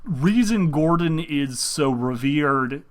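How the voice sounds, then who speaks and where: A man's voice: on the high side, expressive, slow pace. Rambling young man, sick, many fillers. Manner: meandering, full of fillers and restarts. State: sick, speaking through pain. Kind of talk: casual rambling gaming commentary.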